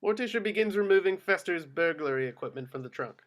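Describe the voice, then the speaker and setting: A man's voice: high voice, lively intonation, fast, a bit bright. A man narrating the action of a film script at a table read. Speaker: stage-direction reader.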